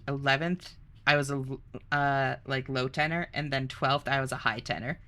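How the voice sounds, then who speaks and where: Bright, bubbly young woman streamer. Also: deep voice. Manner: bubbly and chatty, laughs often. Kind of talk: casual live-stream chat, reading chat aloud.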